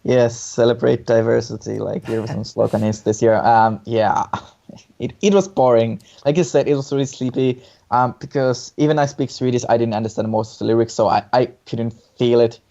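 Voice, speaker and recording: A man's voice: mid-range voice, steady tone, brisk, vocal fry, dark tone. A man co-hosting a casual review chat, giving opinions hesitantly and often repeating his words. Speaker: co-host reviewing song contest entries. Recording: dull lo-fi sound.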